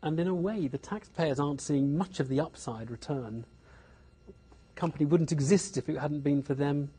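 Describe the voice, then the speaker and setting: Husky, pressing British interviewer man. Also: fairly high pitch, moderate pace, mellow. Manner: challenging, pressing follow-ups. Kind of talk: probing interview questions. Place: indoor room.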